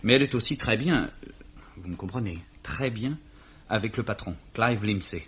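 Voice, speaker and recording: Bright, husky-edged flustered young gentleman. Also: on the low side, lively intonation, moderate pace, heavily acted. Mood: pained, earnest. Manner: earnest, fussy, plaintive. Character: flustered, polite young English gentleman, earnest and hapless. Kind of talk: 1950s French radio drama acting. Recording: dull, slightly rough old radio recording.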